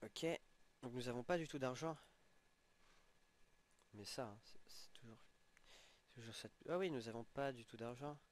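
Young male gamer with a sniffly cold, chatty play-by-play, mid-pitch voice. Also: normal speed, long pauses. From French-speaking. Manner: chatty, exclaiming at in-game rewards. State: has a head cold, sniffling. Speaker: gaming channel host. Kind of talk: casual game commentary.

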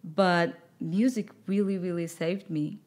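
A woman in her thirties from Japan with a Japanese accent, speaking English as a second language in an interview and often pausing to search for words. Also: lowish voice, steady tone. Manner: halting, searching for words, soft-spoken. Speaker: guest singer. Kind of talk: casual interview chat. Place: studio with record-covered wall. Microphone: studio microphone on boom arm.

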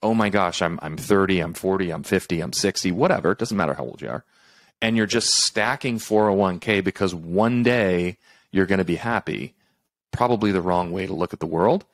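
A man's voice: deep voice, a little fast, no room sound, clean studio sound. A middle-aged man hosting a podcast, upbeat and coaching, acting out listeners' thoughts as he unpacks points. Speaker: podcast host. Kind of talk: conversational interview hosting. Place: room at home, indoors.

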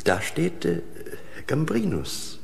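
An actor playing a polite, bookish museum visitor, courteous and precise, in a German radio crime play. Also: male voice, a little high, wildly swooping pitch, slow pace, vocal fry, full character voice. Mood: courteous, hesitant.

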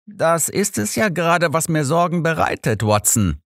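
A man plays an old gentleman detective in an audiobook. He is earnest, courteous and troubled, pitched well above the narrating voice, with formal phrasing. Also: very animated, moderate pace, no echo, studio quality. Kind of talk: audiobook character reading.

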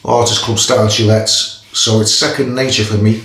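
A man's voice: on the low side, steady tone, fairly bright tone. Chatty Geordie man in an echoey bathroom. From Newcastle area, England. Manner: chatty, stop-start, pauses between phrases. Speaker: shaving vlogger. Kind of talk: casual chat while shaving. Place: small tiled bathroom. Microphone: camera in front of face. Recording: echoey bathroom, faint noise.

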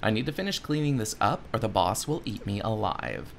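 A man's voice: lowish voice, expressive, a little fast, vocal fry, slight background noise. A man voicing an irritable, overworked waitress in game dialogue, curt and weary. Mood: irritated. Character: irritable, overworked waitress shooing people away. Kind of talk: game dialogue read aloud.

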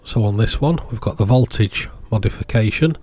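A man's voice: fairly low pitch, normal speed, a little mellow. A man giving a casual, plain-spoken voice-over, explaining hobby electronics as the channel's presenter. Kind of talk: casual product showcase voice-over.

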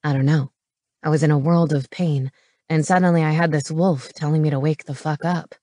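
A woman's voice: low-pitched, steady tone, a little fast, mellow, dry recording, studio quality, voice pushed down, lightly acted. A woman voicing a lustful, domineering young male wolf shifter in an audiobook, with a growling, commanding delivery. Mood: blunt, intense. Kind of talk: paranormal romance audiobook character.

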